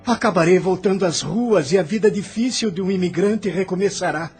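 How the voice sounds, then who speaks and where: A man's voice: high-pitched, brisk, a little mellow, breathy edge, faint music behind, heavily acted. A man playing an agitated, ailing immigrant in an audio drama, theatrical and impassioned, embittered by hardship. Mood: bitter, puzzled. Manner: theatrical, impassioned, swinging from faint to outcry.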